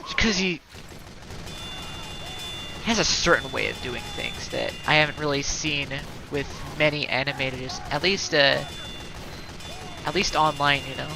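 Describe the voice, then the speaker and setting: A woman's voice: low-pitched, unhurried, gravelly, long pauses, over music, rough recording. A woman streaming a game in casual, rambling chatter, slightly stuttering and congested from allergies. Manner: rambling, heavy on fillers and restarts.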